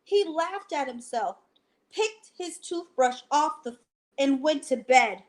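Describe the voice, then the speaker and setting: A woman hosting a bedtime storytime, addressing children directly and reading a picture book aloud with deliberate phrasing. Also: high-pitched, expressive. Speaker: library storytime host and reader. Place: at home, indoors.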